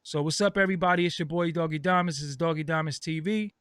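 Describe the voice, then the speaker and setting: A man's voice: on the high side, quick pace, fairly bright tone, clean tone, no echo, studio quality. A man hosting an online interview, chatting casually with lots of fillers and street slang. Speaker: host.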